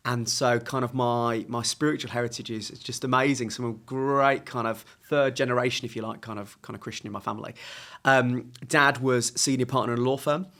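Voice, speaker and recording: Thirties english guy, excitable storyteller, mid pitch, chatty. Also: fairly quick. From England. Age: 30s. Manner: lively, self-mocking, storytelling. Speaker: podcast guest, evangelist. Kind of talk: casual interview.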